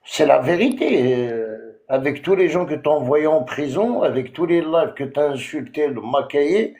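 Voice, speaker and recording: Man, TikTok live host, confrontational rant, lots of hesitations, higher voice, roomy echo. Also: a little mellow. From Algeria. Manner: confrontational, repetitive, full of hesitations. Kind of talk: heated live-stream rant.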